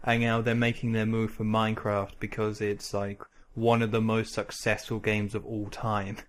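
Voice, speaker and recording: A man's voice: fairly low pitch, monotone, moderate pace. A man hosting a podcast in casual chat, with a wry, dry sense of humour. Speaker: podcast host.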